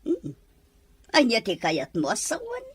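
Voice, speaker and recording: A woman's voice: a little high, huge pitch swings, unhurried, a bit bright, slightly rough, no room sound, clean studio sound, heavily acted. A woman playing a bossy, scheming middle-aged mother-in-law in an Ilocano-accented audio drama. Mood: indulgent, conspiring.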